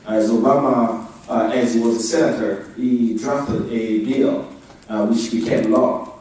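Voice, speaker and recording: A man giving a formal briefing as an advocacy speaker, going through his points one by one in a measured way. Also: medium pitch, fairly even intonation, dark tone. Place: indoor meeting room. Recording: echoey room, distant microphone.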